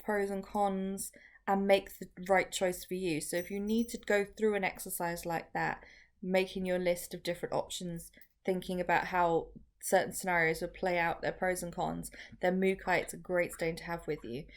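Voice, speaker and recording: A woman teaching on camera, talking through each step in a chatty way with many restarts and ums, her voice a bit croaky. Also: medium pitch. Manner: chatty, filler-heavy explaining with frequent restarts. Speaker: crystal workshop teacher. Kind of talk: casual how-to video.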